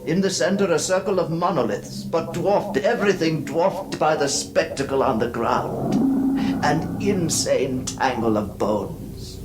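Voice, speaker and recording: An actor playing a haunted nobleman descending into madness, narrating horror radio drama in a brooding, theatrical way with dramatic pauses. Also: a little high, close to an everyday voice. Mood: dread, horror. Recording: clearly noisy radio drama recording.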